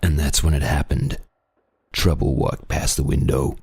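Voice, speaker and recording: A man doing a deadpan noir private eye. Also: very deep voice, a little slow, gravelly, husky, full character voice. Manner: deadpan, wry, punning. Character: hard-boiled down-on-his-luck male private eye. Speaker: narrator. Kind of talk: parody noir radio serial narration.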